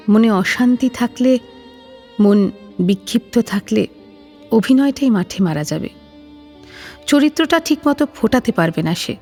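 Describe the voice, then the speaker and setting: A woman's voice: a little high, a little mellow, husky, big-room sound. A woman narrating a scripted story dramatically, as presenter of an audio drama.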